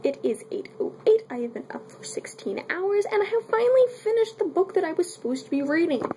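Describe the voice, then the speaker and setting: A woman's voice: very high voice, very animated, fairly quick, warm tone, slight background noise. A woman giving a casual, unscripted book review in a candid, irreverent way with wry asides and mild swearing.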